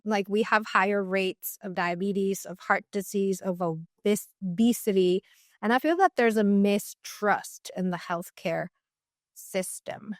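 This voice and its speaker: A woman's voice: mid-range voice, a little slow, no echo, studio quality. Earnest young woman from Mexico. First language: Spanish. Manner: earnest, many "like" fillers and restarts. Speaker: guest dietitian. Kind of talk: casual interview talk.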